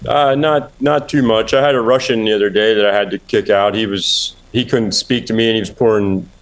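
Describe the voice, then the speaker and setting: A man from Pennsylvania Dutch country with a Pennsylvania Dutch accent, a bar owner guest talking in a laid-back, halting way. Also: lowish voice, steady tone, poor audio. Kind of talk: casual podcast chat.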